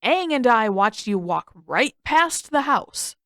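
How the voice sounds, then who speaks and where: A woman's voice: on the high side, sing-song, slow pace, a bit bright, dry recording, voice pushed up, full character voice. A woman acts out a bossy teenage big brother in an audiobook story. He is suspicious and stubborn, pressing his accusations with indignant emphasis. The sound is close and dry. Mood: accusing.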